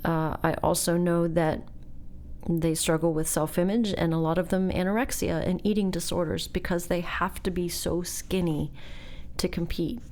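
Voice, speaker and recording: A woman in her fifties hosting a podcast, chatting casually and confessionally, laughing often. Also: lowish voice. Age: 50s. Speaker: podcast host. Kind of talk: casual chat.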